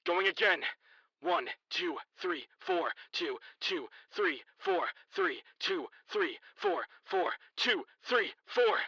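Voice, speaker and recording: A man's voice: high voice, animated, slow pace, muffled recording, exaggerated voice. A man playing an urgent paramedic in an audio drama, giving clipped counts and commands. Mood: focused urgency. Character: urgent male paramedic at an emergency scene. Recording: distant voice buried under loud siren noise.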